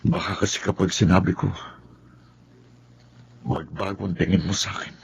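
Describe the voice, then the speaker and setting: An actor playing a troubled young Filipino lawyer in a radio drama, hesitant and confessional. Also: male voice, low voice, flat delivery, moderate pace, vocal fry, lo-fi sound, a little hiss or hum. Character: troubled, soft-spoken young male lawyer with a secret. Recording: music behind the voice.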